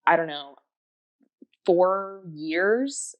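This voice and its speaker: A woman's voice: on the low side, lively intonation, extremely slow. A woman whose first language is Japanese, chatting enthusiastically as a podcast guest with lots of fillers. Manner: rambling, enthusiastic, many fillers and restarts. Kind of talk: casual chat.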